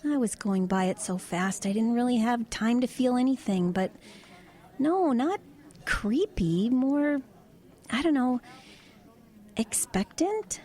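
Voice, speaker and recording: An actress playing a wry, low-key woman driver who tells of eerie happenings in a conversational, hesitant way in an audio drama. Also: animated, slow pace, pauses often, dark tone. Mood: uneasy, hesitant. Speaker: lead actress and narrator. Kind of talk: audio drama narration and dialogue.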